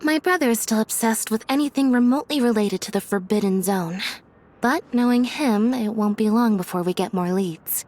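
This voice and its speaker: A woman voicing a composed, dryly candid young woman in a video game, measured and matter-of-fact. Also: a little high, darkish tone, lightly acted.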